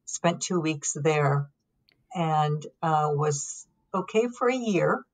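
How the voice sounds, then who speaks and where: Low-voiced woman, calm and reflective. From Lebanon. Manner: calm, reflective, with frequent ums and pauses. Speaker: guest. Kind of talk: conversational storytelling.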